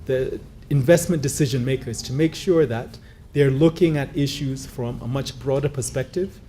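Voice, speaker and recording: Man, formal conference speaker, mellow voice, pauses with ums. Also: medium pitch. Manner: measured, with frequent fillers. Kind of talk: formal closing speech. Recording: faint background noise.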